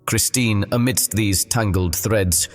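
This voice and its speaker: A man's voice: fairly low pitch, slow pace, soft background music. Earnest, lovelorn young man, Raoul, acted by a man. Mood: pleading. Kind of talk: audio drama acting.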